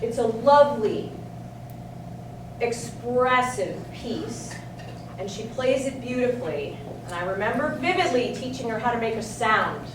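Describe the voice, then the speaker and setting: A woman with a fairly high voice introduces performers at a recital, speaking slowly with a projecting teacher voice. She is picked up from across a very echoey room, with steady noise in the background. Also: recorded from a distance. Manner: projecting loud teacher voice, friendly and unhurried. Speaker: music teacher introducing students. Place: concert room, speaking to an audience.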